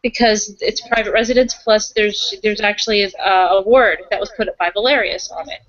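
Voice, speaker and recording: A woman improvising roleplay conversation, thinking aloud haltingly and often repeating her phrases. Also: medium pitch, steady tone, lo-fi sound. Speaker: tabletop roleplaying player and game runner.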